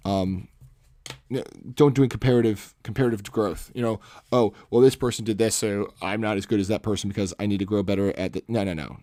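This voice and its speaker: Male art streamer, lowish commanding salesman voice, casual rambling delivery that self-corrects, dry close-mic sound. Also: a little mellow, no room sound. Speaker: art livestream host. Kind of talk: casual livestream chat while sketching. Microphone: microphone close to the face.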